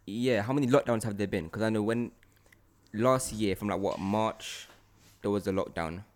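A man's voice: lowish voice, moderate pace. A man with a London accent hosting a casual podcast chat, talking informally with slang and repeating himself for emphasis. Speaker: podcast host.

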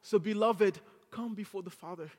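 A man's voice: high-pitched, normal speed, darkish tone, long reverb tail. A man from Haiti with a French accent, preaching an earnest sermon to a congregation that builds to fervent exhortation. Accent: French-accented English. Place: church sanctuary.

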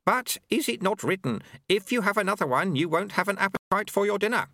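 A man voicing a serene, wry old monk who talks in a sage, deadpan, proverb-quoting way. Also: sits high, fairly quick, dry recording, clean studio sound, voice pushed up, full character voice. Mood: mild, wry.

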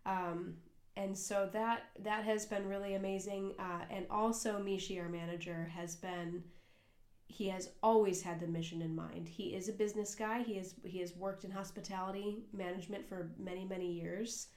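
A woman's voice: medium pitch, fairly even intonation, moderate pace, darkish tone. A young American woman co-hosting a casual podcast, talking earnestly and at length with plenty of fillers and restarts. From United States. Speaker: podcast co-host and cafe worker. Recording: echoey room.

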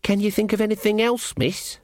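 A very high, anxious young guardsman, acted out by a male narrator in a storybook. He gabbles in colloquial rustic phrases, simple and deferential, badly frightened and close to the mic. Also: level tone, fairly quick, dry recording, close to an everyday voice.

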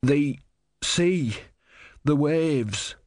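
An anxious young novice, played by a male reader, pitched well above his narrating voice. He is meek, devout and hesitant, earnest in everything he says. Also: lively intonation, a little slow, dry recording, studio quality, close to an everyday voice. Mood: uneasy.

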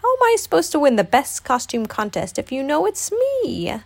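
A high, grumpy little gopher from a storybook, put on by a woman narrator. He is stubborn and cantankerous, with snappish, old-timey grumbling. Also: huge pitch swings, moderate pace, vocal fry, darkish tone, heavily acted. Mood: indignant.

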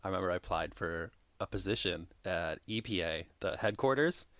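A man's voice: sits low, lively intonation, fairly quick, fairly bright tone, dry recording. A young man co-hosting a podcast, chatting casually and drifting into tangents with frequent laughs. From Minnesota. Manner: rambling, tangent-prone, laughs often. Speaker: podcast co-host. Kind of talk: casual chat.

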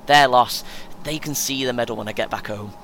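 An actor playing a chatty, self-important young British amateur runner in an audio play, earnest and keen, chuckling often. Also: male voice, a little fast, a bit bright, natural voice. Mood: deflated. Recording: faint vehicle noise behind. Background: vehicle rumble, coach ambience.

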